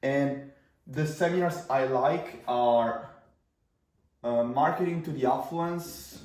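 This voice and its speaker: A man's voice: medium pitch, slow, darkish tone, reverberant, recorded from a distance. A man in his twenties with an Italian accent, whose first language is Italian, talking casually to camera about marketing in a rambling way with fillers and restarts. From Italy. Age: 20s.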